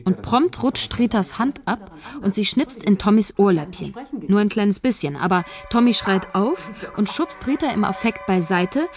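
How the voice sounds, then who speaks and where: An actress playing a shy young woman scriptwriter who narrates her story and eagerly pitches plot twists in a radio play. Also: lively intonation, moderate pace, soft background music, natural voice. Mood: telling.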